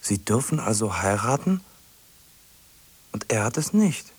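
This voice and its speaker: A man's voice: on the low side, very animated, slight background noise. An actor playing a hesitant, lonely, obsessive man who stumbles and repeats himself in a radio play. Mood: musing, restless. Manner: halting, repeats himself, muttering to himself.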